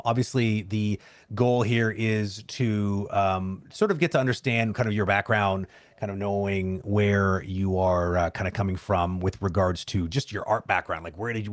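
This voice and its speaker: A man's voice: fairly low pitch, no echo. A man hosting an online interview, talking in a rambling, hesitant way with many restarts and fillers over a video call. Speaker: interview host.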